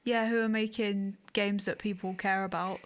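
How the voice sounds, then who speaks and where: A woman's voice: mid-range voice, fairly even intonation, normal speed. A woman co-hosting a podcast in a casual, conversational style, informal and agreeable. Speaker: podcast co-host.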